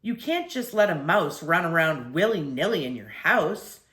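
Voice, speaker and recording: An older woman reading as an exasperated, no-nonsense storybook mother. The voice is low and swings emphatically as she grumbles, in an echoey room. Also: very animated, normal speed, fairly bright tone.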